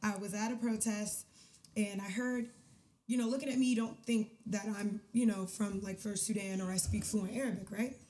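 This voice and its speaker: A woman from the DMV area, guesting on a podcast, telling stories in an outgoing, animated way and asking "right?" over and over. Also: mid-range voice, normal speed. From United States, DMV area. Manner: laughs often. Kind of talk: casual chat.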